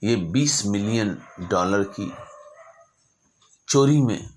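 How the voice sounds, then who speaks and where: A man narrating stories informally as an astrologer, speaking deliberately in short phrases broken by pauses. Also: on the low side, expressive, a little mellow, slight background noise. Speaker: astrologer telling client stories.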